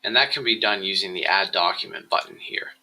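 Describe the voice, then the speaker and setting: A man with a lowish voice and a very bright, forward tone narrates an instructional screencast. He explains things step by step in a measured way, as a presenter guiding viewers through software. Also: gravelly, low-quality recording.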